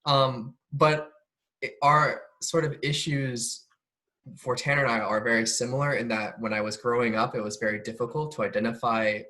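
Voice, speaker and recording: A young man from Oregon hosts a laid-back student podcast. He talks in an easy, chatty way, stopping often on "um" as he thinks. His voice sits at a middle pitch. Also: moderate pace, poor audio. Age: young adult. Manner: relaxed, chatty, frequent "um" fillers. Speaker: podcast host, club president. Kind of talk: casual podcast chat.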